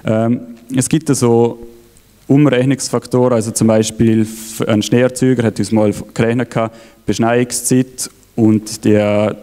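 A man's voice: medium pitch, little pitch movement, a little slow, warm tone. A man with a presenting on stage in a hall, speaking with many fillers and restarts into a handheld mic. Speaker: event organizer presenting.

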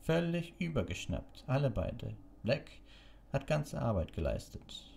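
A man's voice: mid-range voice, very animated, measured pace, creaky, a little mellow, voice pushed up, exaggerated voice. A man voicing a sneering, bitter male schoolmaster in a storybook, snarling with cutting contempt.

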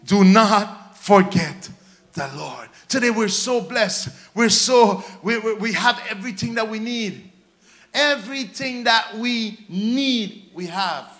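Guyanese man, pastor at the pulpit. Very high-pitched, forceful delivery, exhorting and driving phrases home by repetition. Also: slow pace. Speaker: pastor preaching. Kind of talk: sermon. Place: church stage, indoors. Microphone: handheld microphone.

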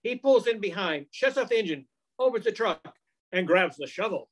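A man's voice: high voice, expressive, moderate pace. A man in his sixties reading scripted narration for an old-time radio crime drama, recorded at home on a video call. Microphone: computer mic on a video call.

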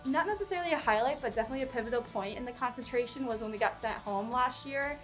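Young woman, kinda high voice, earnest answers, music faint. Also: fast, fairly bright tone, low-quality recording. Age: young adult. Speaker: design student. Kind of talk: interview answers.

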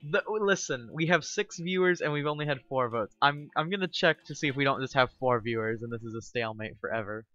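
A male game streamer in casual live chat with viewers, excitable and teasing, laughing often. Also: mid-range voice, a little fast, few pauses, no room sound, lo-fi sound.

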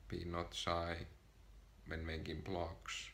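Finnish guy, english not his first language, super low and gravelly, slow halting talk to the camera. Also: monotone, a bit bright, a little hiss or hum. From Finland. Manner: shy, hesitant, halting. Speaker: vlogger. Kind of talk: personal vlog to camera. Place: small room, seated on a sofa. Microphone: camera in front of him.

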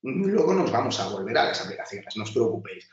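A man teaches a design class over slides, chatty and full of restarts. His voice sits at an everyday middle pitch. It comes a distance into a roomy space, with dull, muffled audio. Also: big pitch swings, quick pace, a little hiss or hum. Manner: chatty, many restarts and filler, addresses class directly. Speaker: design instructor. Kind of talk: webinar lecture over slides.

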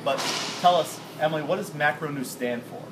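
Chatty 30s guy hosting, mic far off, loud hum behind. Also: fairly high pitch, a little fast. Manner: upbeat, chatty, gestures while talking. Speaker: host. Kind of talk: casual interview. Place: bright indoor room with glass wall. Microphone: far camera. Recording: camera audio with loud background noise.

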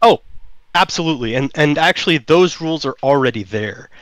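A man's voice: a little high, expressive, fairly quick, a bit bright, no room sound. Talkative male game designer, German native. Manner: explanatory, many fillers and restarts. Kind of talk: interview answers.

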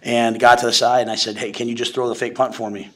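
A man in his forties, a head football coach, answering questions at a postgame press conference from a podium, talking in a rapid, conversational way full of restarts and fillers. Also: mid-range voice, flat delivery, a bit bright. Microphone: podium mic.

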